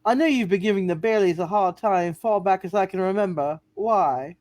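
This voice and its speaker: Woman as a defiant government examiner, medium pitch, dry recording, folksy, indignant, principled, hammed-up radio play. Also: moderate pace, a little mellow, no echo, natural voice. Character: principled, defiant female state bank examiner.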